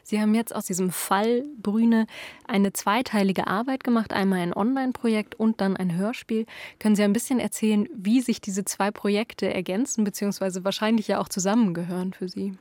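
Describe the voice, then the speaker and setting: A woman's voice: mid-range voice, steady tone, fairly quick, darkish tone, dry recording. Woman interviewer, dry close sound. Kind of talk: conversational interview questions.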